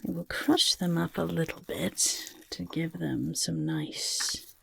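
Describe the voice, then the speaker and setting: Lady doing a chaotic goblin boss for asmr, creaky soft voice that swoops around, fussy, coaxing, loves filth. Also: sits low, big pitch swings, slow pace, exaggerated voice. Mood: fussing, coaxing. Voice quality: breathy, whispery, creaky. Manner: coaxing, fussy, repeating words. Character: chaotic, bossy, motherly goblin overlord fond of filth. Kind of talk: soft-spoken ASMR personal attention roleplay. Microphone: close microphone, dry sound.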